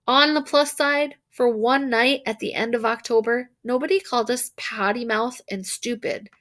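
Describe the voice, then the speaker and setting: A woman reading a children's novel aloud in an expressive, animated storytelling manner, with emphasis on key words. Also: on the high side. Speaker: storybook reader.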